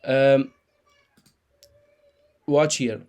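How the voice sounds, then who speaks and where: A man in his thirties, an Urdu speaker, explaining a trading chart in halting with many restarts. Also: mid-range voice, a little mellow. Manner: halting non-native English, frequent restarts and repeated words. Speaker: crypto trading channel host.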